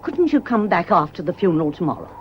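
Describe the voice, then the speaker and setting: A woman's voice: on the low side, big pitch swings, quick pace, a little hiss or hum. An actress playing a devoted, prying woman servant, deferential yet pointed, in a period radio mystery. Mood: guarded, polite. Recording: dull, muffled old radio recording.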